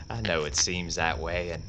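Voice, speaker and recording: A man playing a cool, deep-voiced outlaw. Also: slight background noise, exaggerated voice. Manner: slow, quiet, unhurried warnings. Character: calm, deadly male outlaw gunfighter. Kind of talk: audio drama acting.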